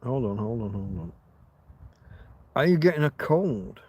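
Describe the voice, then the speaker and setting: A man's voice: medium pitch, big pitch swings, measured pace, a little mellow. Guy rambling on his live stream, keeps restarting, bit of a cough, faint hiss behind. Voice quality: slight cough, throat tickle. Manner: meandering, restarts often, chats to viewers. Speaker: livestream host.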